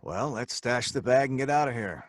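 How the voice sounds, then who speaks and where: A man's voice: medium pitch, animated, normal speed, no room sound, clean studio sound, close to an everyday voice. An actor playing a brash, mocking crook who narrates his own tale in slangy old-time radio drama style. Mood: impatient, teasing. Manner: slangy, hard-boiled tough talk.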